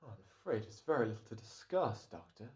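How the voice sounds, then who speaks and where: Guy acting a smug gentleman crook in old radio drama, dryly polite, really animated pitch. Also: fast. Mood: suave defiance.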